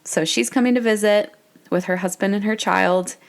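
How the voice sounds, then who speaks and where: A woman's voice: mid-range voice, normal speed, gravelly. A middle-aged American woman hosting her own podcast, chatting in a reflective, digressive way. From United States. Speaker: podcast host. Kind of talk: personal podcast monologue.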